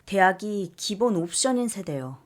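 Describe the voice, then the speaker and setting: A woman reading a listener's life story aloud as scripted narration, calm and measured. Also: medium pitch. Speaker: story reader.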